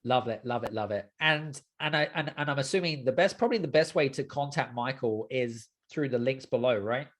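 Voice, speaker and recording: A man's voice: medium pitch, fairly quick, few pauses, a bit bright. A man hosting a casual podcast interview, chatty and inclined to repeat his affirmations and phrases. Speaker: podcast host.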